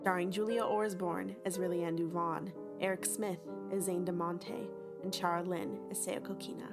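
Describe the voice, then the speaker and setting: A woman's voice: on the low side, flat delivery, normal speed, creaky. A woman reading scripted credits as the announcer of an audio drama. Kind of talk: scripted narration. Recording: loud plucked-guitar music behind voice.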